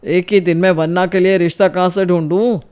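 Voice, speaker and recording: A man's voice: high-pitched, fairly even intonation, a little fast, darkish tone, clean tone, close to an everyday voice. An actor playing a surprised husband and father in a family audio drama. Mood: taken aback.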